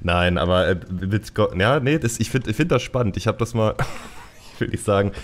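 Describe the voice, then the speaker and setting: A man's voice: on the low side, lively intonation, moderate pace. A man hosting a casual podcast chat, talking in a wry, joking way. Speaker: podcast host.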